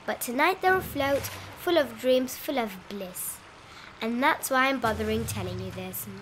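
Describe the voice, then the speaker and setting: A woman narrating a rhyming children's bedtime picture book in an expressive, sing-song read-aloud style with drawn-out emphasis. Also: fairly high pitch, a little hiss or hum. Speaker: storybook narrator.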